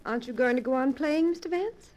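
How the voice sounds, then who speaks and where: A woman's voice: high voice, fast talker, a little mellow, natural voice. A woman acting in an old-time radio drama as a polite, compliant accomplice on the run, demure and theatrical. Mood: sweetly polite.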